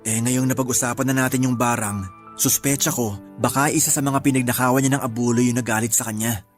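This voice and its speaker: An actor playing a quiet young man mourning the uncle who raised him. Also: medium pitch, fairly even intonation, fast, soft background music, lightly acted. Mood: sad.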